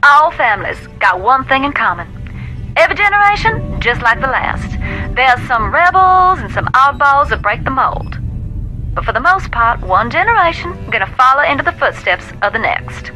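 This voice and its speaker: A woman's voice: high-pitched, very animated, normal speed, pushed and bright, faint music behind, full character voice, rough recording. An actress playing a folksy, intense Southern American woman who talks to spirits, telling dramatic stories in a video game. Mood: knowing. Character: folksy, intense woman ghost-seer, knowing and haunted.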